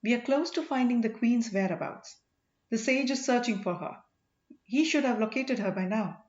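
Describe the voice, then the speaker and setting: A woman's voice: fairly high pitch, normal speed, darkish tone, natural voice. A woman voicing a loyal, teasing boatman husband in an audiobook, playful and earnest. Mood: earnest, secretive. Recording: echoey room, dull lo-fi sound.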